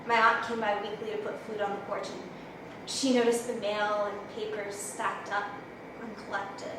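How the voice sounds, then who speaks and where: A woman performing a dramatic stage monologue as a grown woman haunted by memories, telling her story in a measured, reflective way. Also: medium pitch, level tone, natural voice. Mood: somber, reflective. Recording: roomy echo, distant mic, faint noise.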